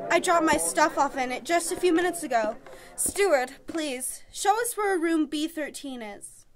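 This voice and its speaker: A woman playing an excitable, anxious young newlywed bride in a radio horror play. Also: high voice, moderate pace, crowd noise. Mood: anxious, insistent. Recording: music under the voice.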